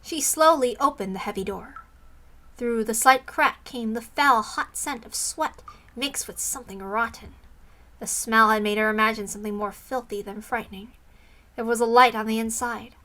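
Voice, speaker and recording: A woman's voice: fairly high pitch, normal speed, bright, forceful tone. A woman narrating a visual novel aloud as a dramatic story reader.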